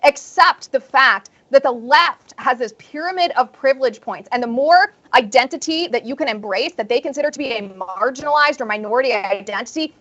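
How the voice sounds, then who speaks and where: A woman's voice: high voice, lively intonation, fairly quick, hardly any pauses, fairly bright tone, dull audio. A woman from the Midwest on a talk show panel, building an emphatic, opinionated argument. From American Midwest.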